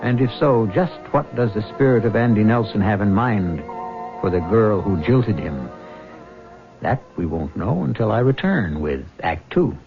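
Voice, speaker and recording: A man narrating as the wry, ominous host of a radio ghost story, in a theatrical, measured style. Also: lowish voice, expressive, vocal fry. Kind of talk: scripted radio mystery narration. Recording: dull old radio recording. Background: music.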